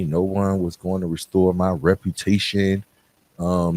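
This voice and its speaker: A man's voice: sits low, a little mellow, breathy edge. A man hosting a podcast, speaking in an emphatic, plain-spoken motivational style straight to the listener. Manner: emphatic, repeats and contrasts phrases, plain-spoken. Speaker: podcast host. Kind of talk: motivational monologue addressed to listeners.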